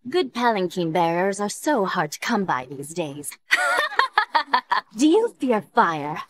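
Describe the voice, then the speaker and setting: A menacing teenage fire princess in a video game. She is haughty and cruel, speaking slowly in a sharp, forceful voice. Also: mid-range voice, huge pitch swings, heavily acted. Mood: cruel, smug.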